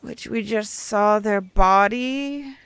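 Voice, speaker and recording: A woman's voice: mid-range voice, slow pace, a bit bright, smooth, dry recording. A young adult woman streaming a game, chatting in a rambling, self-correcting way and reading the text aloud. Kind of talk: casual let's-play chat and reading game text aloud.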